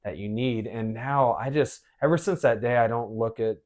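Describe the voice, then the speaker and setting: A man's voice: mid-range voice, normal speed, a little mellow. A man with an American accent, hosting a podcast and telling personal stories in casual, conversational speech. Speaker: podcast host. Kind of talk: casual chat, personal storytelling.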